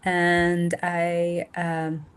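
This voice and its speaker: Brazilian woman in her thirties, lowish voice. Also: monotone, very slow, smooth. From north and northeast Brazil. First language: Portuguese. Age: 30s. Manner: thoughtful, searching for words with fillers. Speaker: guest, nonprofit founder. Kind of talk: casual interview talk. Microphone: remote video call.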